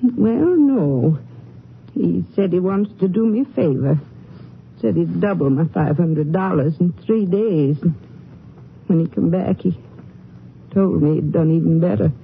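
Frail, slow-speaking despairing old widow. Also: female voice, on the low side, vocal fry. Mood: regretful. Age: older. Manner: slow, halting, plaintive delivery. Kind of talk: 1950s radio drama acting. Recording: muffled, noisy old radio recording.

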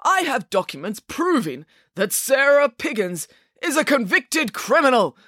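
Lady putting on a smug pompous politician dad from a kids story, proclaiming, pitched up and swoopy. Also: very animated, unhurried, a bit bright, no room sound, studio quality, full character voice. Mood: pompous, accusing. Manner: self-important, accusatory proclaiming.

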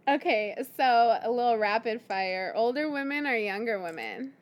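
Playful woman host with a higher voice. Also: sing-song. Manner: playful, teasing, quick to laugh. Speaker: podcast host. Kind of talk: casual interview chat.

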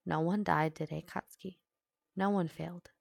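A woman's voice: on the low side, steady tone, normal speed, gravelly, dry recording. Woman playing an earnest, stubborn young heroine. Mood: fierce, pleading.

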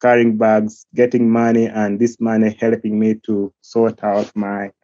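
A young man with a Ugandan accent, speaking earnestly and slowly as an interview guest, often pausing to search for words. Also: fairly low pitch, monotone, warm tone, no room sound. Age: young adult. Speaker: guest, golf academy founder. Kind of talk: remote interview. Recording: dull lo-fi line, faint music.

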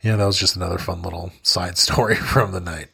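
Young guy, gravelly and lowish, blunt, swears a ton, laughs easy. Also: fairly even intonation, fairly quick, sharp tone. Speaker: podcast co-host. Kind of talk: casual chat.

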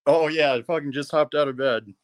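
A man in his twenties calls in to a live show, blunt and swearing through every sentence. His voice sits a little high, and the sound is dry. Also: a little fast, no room sound. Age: 20s. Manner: profane, blunt, excitable. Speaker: caller. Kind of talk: casual chat.

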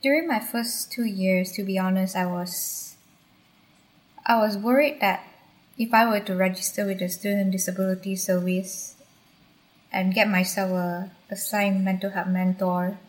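A woman's voice: medium pitch, steady tone, measured pace, poor audio. A young woman from Malaysia, candidly and reflectively recounting her university years for a podcast. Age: young adult. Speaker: university graduate guest. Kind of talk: podcast personal account.

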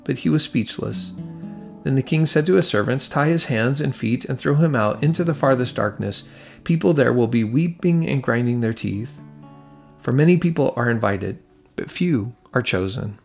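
A man gives a scripted gospel reading in a gravelly, creaky voice of medium pitch. Soft guitar music plays faintly behind him. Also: expressive, moderate pace. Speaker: scripture reader.

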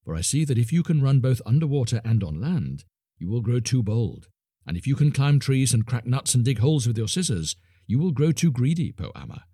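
A man voicing a stern ancient male magician in a storybook reading, speaking in slow, grave pronouncements. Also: sing-song, dark tone, slightly rough, no echo, clean studio sound. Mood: solemn. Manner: slow, low and solemn pronouncements.

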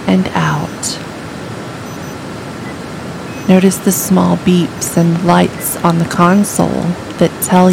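A woman calmly reading a scripted guided imagery meditation in a soothing, deliberate way. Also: fairly low pitch, gravelly, background noise. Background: music.

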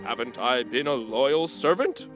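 Chatty donkey storyteller, kids' audio drama; bright, lively pitch swings, folksy and earnest. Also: male voice, measured pace, faint music behind. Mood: animated storytelling. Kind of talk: children's audio drama acting.

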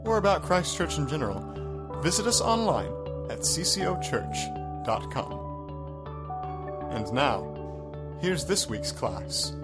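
A man reading a smooth scripted announcement that introduces a recorded church class. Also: fairly high pitch, sing-song, slow pace, pauses often. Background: music bed.

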